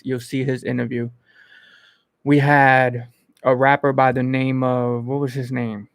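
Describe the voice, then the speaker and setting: A man from the Bronx, hosting a casual livestream and thinking aloud in an informal, halting way. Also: medium pitch, creaky, no echo. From Bronx, New York. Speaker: host of an online entertainment interview channel. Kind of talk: casual livestream chat answering viewers.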